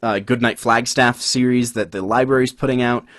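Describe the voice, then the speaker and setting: A man's voice: mid-range voice, moderate pace, no room sound, studio quality. A man co-hosting a podcast, talking casually about acting, rambling with lots of fillers. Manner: relaxed, rambling, many fillers. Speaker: podcast co-host. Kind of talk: casual chat.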